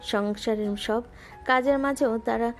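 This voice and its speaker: A woman gently narrating a scripted romantic audio story. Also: a little high, fairly even intonation, unhurried. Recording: music bed underneath.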